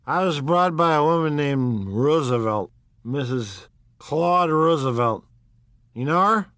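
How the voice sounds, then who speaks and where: A man doing an excitable drunk middle-aged man. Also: a little high, takes it slowly, no room sound, clean studio sound, pitched above the performer's own voice. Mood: tipsy chatter. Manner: impetuous, excited, rambling.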